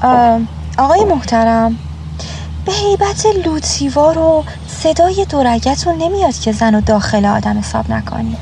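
An actress playing a proper, sharp-tongued neighbourhood lady who talks in a formal, cutting way in a nostalgic radio drama. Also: high voice, animated, creaky, slight background noise. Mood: indignant. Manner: formal, polite yet cutting. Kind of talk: nostalgic 1960s-set radio drama acting.

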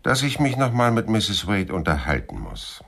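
An actor playing a cool, persistent private detective in a German radio crime drama, narrating and questioning in a dry, laconic, husky voice. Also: male voice, fairly low pitch, very animated, normal speed, fairly bright tone, heavily acted. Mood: brooding, businesslike. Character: hard-boiled private detective narrating his own story, cool and persistent.

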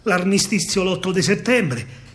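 A man with a Roman accent performing a theatrical verse monologue as a soldier, declamatory and full of pauses. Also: high voice, expressive. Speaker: stage actor and storyteller.

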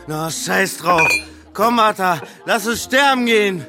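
Reckless wartime teen: bitter, cocky, high-pitched, radio drama with music behind. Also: male voice, expressive, measured pace. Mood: sarcastic, defiant. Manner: sneering, cocky. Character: reckless, sarcastic seventeen-year-old farm lad, bitterly defiant. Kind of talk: German radio drama acting.

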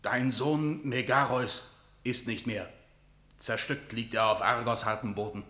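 An actor playing a grim messenger of defeat in a radio drama, declaiming solemn stage verse with a rhetorical manner. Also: male voice, medium pitch, steady tone, pushed and bright, reverberant. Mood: grief-stricken, subdued. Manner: solemn, rhetorical, measured delivery. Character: grim male battle messenger bearing news of catastrophic defeat.

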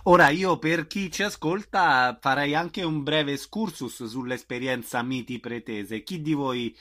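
A man's voice: on the high side, measured pace, a bit bright. A man hosting a casual radio interview, informal and agreeable in the way he talks.

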